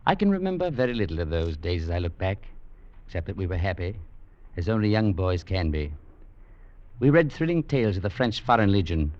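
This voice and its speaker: An actor playing a wistful English survivor recalling his boyhood, measured and reflective in 1940s radio drama narration. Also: lowish voice, dry recording. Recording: dull old radio recording, faint music.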